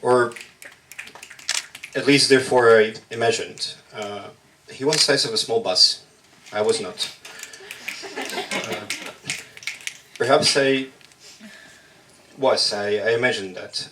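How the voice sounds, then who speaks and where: A man whose first language is Russian performs a spoken-word poem in measured, halting short phrases at a slam poetry workshop. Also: medium pitch, bright, forceful tone, recorded from a distance, a little hiss or hum, rough recording.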